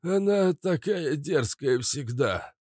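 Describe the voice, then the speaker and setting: A man voicing a courteous, shabby would-be actor with a hoarse voice and a theatrical manner in an audiobook. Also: fairly high pitch, expressive, slow pace, darkish tone, dry recording, clean studio sound, voice pushed up, heavily acted. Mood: apologetic.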